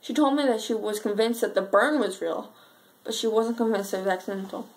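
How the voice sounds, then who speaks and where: A young woman performing an amateur dramatic monologue as a sad, frightened schoolgirl, telling it earnestly with halting pauses. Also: on the high side, steady tone, darkish tone, lightly acted, poor audio. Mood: troubled recollection. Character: sad, frightened schoolgirl recalling her missing friend.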